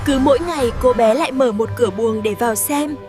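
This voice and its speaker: A woman's voice: a little high, brisk. A woman narrating a scripted fairy tale for children over music. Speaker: storyteller.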